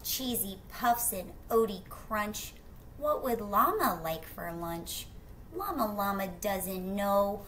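Bright-voiced woman reading to children. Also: medium pitch, slight background noise. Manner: expressive, drawn-out rhyming phrases, questions to the listener. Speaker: teacher reading a picture book aloud. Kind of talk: children's read-aloud with casual asides to young listeners. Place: small indoor room at home.

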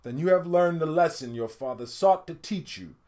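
A man voicing a wise village chief in a storybook, measured and solemn. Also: fairly high pitch, lively intonation, a little mellow, lightly acted.

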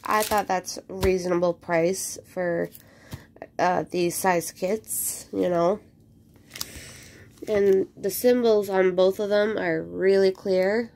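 A woman talks unhurriedly at an everyday middle pitch, sounding a little stuffy from a cold. She rambles along in a relaxed way, with plenty of ums and soft trailing-off phrases, while her phone camera films the table in her home craft room. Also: slow, gravelly, slight background noise. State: slightly congested from a cold. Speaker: crafting vlogger. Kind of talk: casual unboxing chat.

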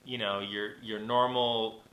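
A man in his forties talks loosely to his live-stream viewers, full of ums and restarts. His nasal voice has a bright, forward edge. The room around him rings a little. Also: on the low side, slow pace, roomy sound, poor audio. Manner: rambling, relaxed, many fillers and restarts. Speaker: vlogger and mixing engineer. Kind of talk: casual live-stream chat. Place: small home recording studio. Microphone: camera on desk.

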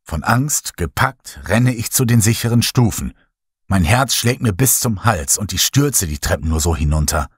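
A man reading a first-person horror story in a slow, hushed, brooding way. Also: on the low side, husky, no echo, studio quality. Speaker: audiobook narrator.

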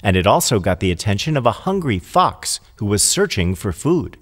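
A man's voice: lowish voice, big pitch swings, moderate pace, fairly bright tone, in a large hall. A man narrating a children's fable as a scripted storyteller.

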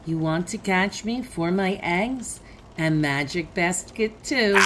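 A woman's voice: lowish voice, animated, slow, a little hiss or hum. A woman narrating a children's picture book aloud as a storybook reader.

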